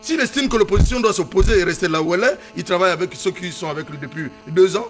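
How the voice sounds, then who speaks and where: A man arguing on a televised political debate panel, loud and insistent, often repeating himself. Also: high voice, fast talker, soft background music. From Gabon.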